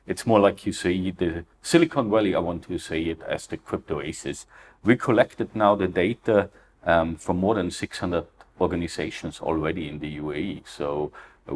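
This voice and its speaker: A middle-aged Austrian man with an Austrian German accent, a founder answering interview questions earnestly, with frequent fillers and non-native phrasing. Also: low-pitched. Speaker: crypto ecosystem founder, guest.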